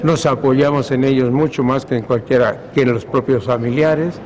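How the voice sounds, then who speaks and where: An older man giving personal testimony, haltingly, repeating words. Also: medium pitch, steady tone, darkish tone. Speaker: interviewee. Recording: outdoors with clear street bustle. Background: street and crowd noise.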